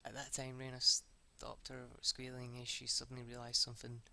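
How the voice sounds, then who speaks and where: A man's voice: mid-range voice, completely flat delivery, moderate pace, creaky, bright, forceful tone. A man reading a visual novel aloud as amateur narrator, informal, stumbling over words and rereading them. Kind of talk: first-person story narration. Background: music.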